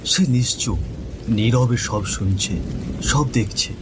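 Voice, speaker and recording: A man giving slow, somber dramatic narration for a horror cartoon. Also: mid-range voice, background noise.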